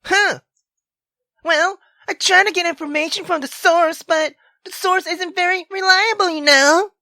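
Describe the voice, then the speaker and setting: A jealous, drunken wife in a visual novel, played by a woman, high and piercingly bright. She is furious and sarcastic, throwing accusations in a shrill voice. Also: measured pace, dry recording, heavily acted. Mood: angry, demanding.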